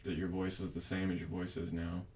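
A man's voice: sits low, monotone, a little fast, darkish tone, distant mic, a little hiss or hum. A young man in casual conversation, rambling and arguing his points with plenty of profanity. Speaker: podcast host. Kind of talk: casual chat.

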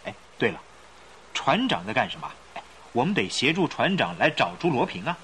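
Fretful, animated gentleman passenger. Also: medium pitch, brisk, a little hiss or hum. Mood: anxious. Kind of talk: radio drama acting.

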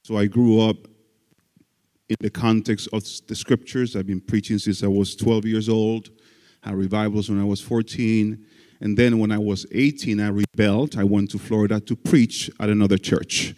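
A man's voice: lowish voice, fairly even intonation, normal speed, dark tone. A male guest pastor from the Dominican Republic, preaching a folksy sermon with jokes and frequent amens. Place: church sanctuary. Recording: church hall reverb.

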